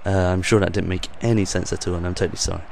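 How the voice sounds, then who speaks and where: A young man with a low, croaky voice talks over football game footage, improvising with plenty of ums and uhs. His delivery stays flat and even, with little rise or fall. A faint hiss of background noise sits under the voice. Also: very fast. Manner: rambling, hesitant, many ums and uhs. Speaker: gaming channel host. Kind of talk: off-the-cuff voice-over commentary.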